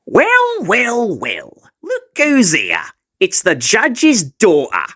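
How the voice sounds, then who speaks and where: A sneering teenage Aussie bully for a comic dub. His voice is bright and brash, lurching all over in pitch as he drawls out exaggerated, blustering taunts. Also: huge pitch swings, slow, full character voice. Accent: Australian. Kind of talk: comic fandub acting.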